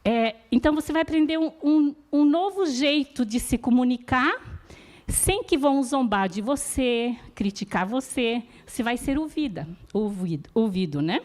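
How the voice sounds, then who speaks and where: A woman's voice: high voice, lively intonation, moderate pace, a little mellow. A woman whose first language is not Portuguese, giving an earnest, encouraging church teaching talk about support groups and often checking in with her listeners.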